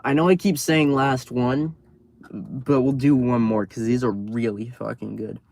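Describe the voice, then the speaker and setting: A man reading horror stories on a live stream, outdoors at night, casual and tripping over words, his voice going hoarse. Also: mid-range voice, fairly even intonation, measured pace, darkish tone, dry recording. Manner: swears freely, stumbles over words. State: losing his voice.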